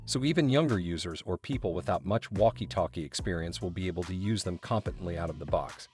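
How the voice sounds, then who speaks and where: A man's voice: low-pitched, quick pace, darkish tone. A man reading scripted narration for a product review video in a polished promotional style. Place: voice-over recording. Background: background music.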